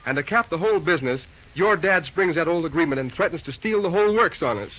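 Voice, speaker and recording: Husky, breezy young railroad man. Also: on the high side, lively intonation, quick pace. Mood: troubled. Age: young adult. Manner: slangy, breezy. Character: folksy, easygoing, gallant young railroad boss. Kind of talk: 1940s radio drama acting. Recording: muffled old radio recording.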